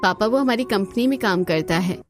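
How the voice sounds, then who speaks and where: A woman's voice: medium pitch, lively intonation, fairly quick, soft background music, close to an everyday voice. A woman voicing a kind, earnest young woman, the daughter of a rich company boss.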